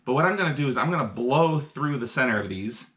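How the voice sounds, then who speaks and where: A man leads a live science demonstration, upbeat and explaining each step for young viewers. His voice sits at an ordinary middle pitch. The sound carries a roomy echo from the indoor space. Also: animated, fast talker, fairly bright tone. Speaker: science educator. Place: indoor room.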